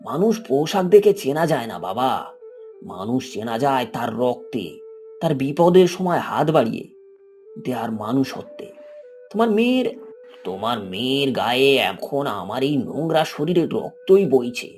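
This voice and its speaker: Man acting a kindly old hawker with lively intonation. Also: mid-range voice, unhurried. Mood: gentle, earnest. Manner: fatherly, gently preaching, affectionate address. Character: kindly, humble, forgiving old male station hawker. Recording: background music under the voice.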